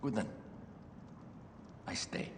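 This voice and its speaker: A man's voice: slow pace, close to an everyday voice. An actor playing a devoted warrior sworn to his queen, earnest and solemn, in a game cutscene. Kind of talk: dramatic video game cutscene acting. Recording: echoey hall, clear background noise.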